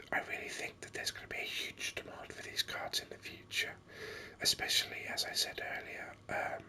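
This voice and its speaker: A gaming host speaking in an unvoiced whisper, giving unhurried, rambling ASMR game commentary. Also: bright, forceful tone.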